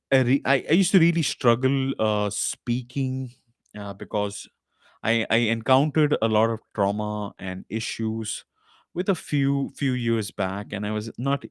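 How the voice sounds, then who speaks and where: A man's voice: medium pitch, clean tone, no echo, studio quality. A man hosting a podcast live stream, talking casually and loosely, with frequent fillers and restarts, into a desk mic at a home recording setup. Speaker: podcast host.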